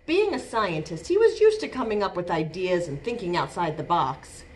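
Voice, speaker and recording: A woman in her thirties, a children's librarian, reading a picture book aloud and leading songs for young children in a gentle, sing-song, expressive way, filmed on a webcam in a small room. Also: on the low side, moderate pace, a little hiss or hum. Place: small room with bookshelves, indoors. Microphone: webcam at close range.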